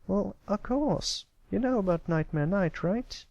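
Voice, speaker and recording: An eager little country filly acted by a man speaks in a raised, slightly creaky pitch. The delivery is friendly and folksy, as in a children's pony story read aloud. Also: animated, a little slow, darkish tone, no echo, muffled recording, full character voice. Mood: curious. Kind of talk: character voice in storybook reading.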